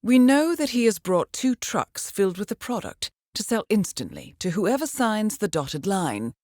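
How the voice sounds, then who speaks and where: An actress playing a composed, shrewd intelligence handler in a video game, giving mission briefings in a measured, precise and dryly wry way. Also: female voice, medium pitch, sing-song, darkish tone, dry recording, studio quality. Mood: composed, intrigued.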